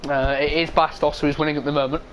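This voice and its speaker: A young man commentating an esports race in a casual style, hoarse and losing his voice, clearing his throat often. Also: on the high side, level tone, fast talker, fairly bright tone, slight background noise. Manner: casual, frequent throat clearing and filler ums.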